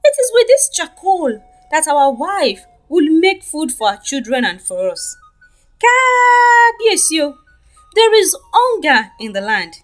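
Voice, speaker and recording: A woman's voice: high-pitched, sing-song, unhurried, faint music behind, pitched above the performer's own voice. A woman voicing a desperate folktale villager, pleading and wailing before a king.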